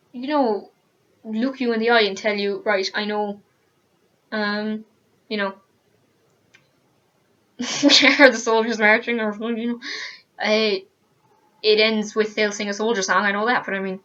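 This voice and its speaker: A woman's voice: medium pitch, fairly even intonation, a little slow, long pauses, sharp tone, lo-fi sound. A teenage woman with an Irish accent, ranting earnestly to camera in a casual vlog, full of fillers and restarts. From Ireland. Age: teens. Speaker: student vlogger.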